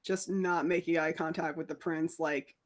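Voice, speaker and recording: A woman's voice: low voice, steady tone, moderate pace. A woman playing a tabletop roleplay game, talking casually as she plays her character.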